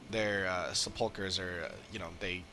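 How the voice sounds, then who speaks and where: A man giving casual livestream commentary on a game, haltingly searching for words as he talks. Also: deep voice, vocal fry. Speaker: game streamer. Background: game music.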